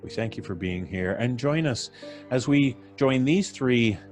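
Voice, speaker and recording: A man hosting a live story show, giving a casual, joking introduction with wry asides and hesitant pauses. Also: mid-range voice, lively intonation, darkish tone, soft background music. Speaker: podcast host introducing a live performance.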